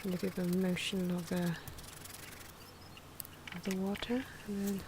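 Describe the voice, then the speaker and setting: A soft-spoken, hesitant woman giving ASMR commentary on her own drawing, with pencil and marker noises. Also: lowish voice, level tone, a little slow, noisy background. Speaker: artist narrating own drawing video.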